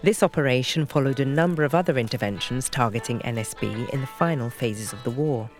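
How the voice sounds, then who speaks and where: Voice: medium pitch, quick pace, music in the background. A narrator reading scripted narration for an audio drama trailer and historical account.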